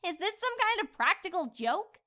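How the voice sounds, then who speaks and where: A woman voicing an excitable, inquisitive young female pony in a cartoon fan fiction, questioning and emphatic. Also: extremely high pitch, normal speed, full character voice. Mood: aggravated.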